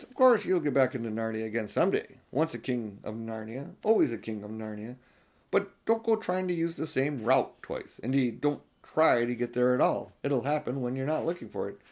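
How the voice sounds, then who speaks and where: A man voicing a kindly, knowing old professor in a storybook, musing and gently admonishing. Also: medium pitch, animated, moderate pace. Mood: reassuring, advising. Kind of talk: storybook character voice.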